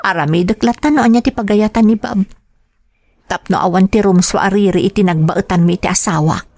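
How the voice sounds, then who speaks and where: An actress with an Ilocano accent playing a troubled, submissive young wife in a radio drama. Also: female voice, medium pitch, brisk, darkish tone, no echo, natural voice. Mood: sorrowful, resigned. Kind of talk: Ilocano radio drama acting and first-person narration.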